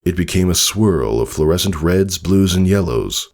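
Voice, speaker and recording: A man reading a story as a dramatic narrator, in deliberate phrases with weighted pauses. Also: sits low, lively intonation, darkish tone. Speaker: fan fiction reader, narrator.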